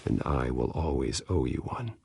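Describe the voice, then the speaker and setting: A man playing a brooding, protective masked vigilante in a video game, speaking gravely and tersely in a gravelly growl. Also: extremely low pitch, expressive, moderate pace, background noise, heavily acted. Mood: solemn, reassuring. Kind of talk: dramatic video game acting. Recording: loud music under the voice.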